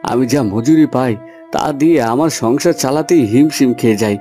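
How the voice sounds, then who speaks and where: A man's voice: mid-range voice, normal speed, dark tone, close to an everyday voice. A man voicing a humble, hesitant poor farm labourer and father in a fairy-tale cartoon. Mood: hesitant, pleading. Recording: music under the voice.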